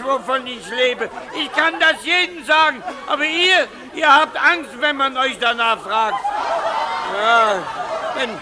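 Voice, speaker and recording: Shrill, shouting, defiant rough man. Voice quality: loud, shouting. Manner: coarse, blunt, colloquial, insistent and repetitive. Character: rough, defiant, ranting male underdog at a society costume party. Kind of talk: 1950s German radio play acting. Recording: noisy 1950s radio recording, loud crowd. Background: loud crowd of party guests.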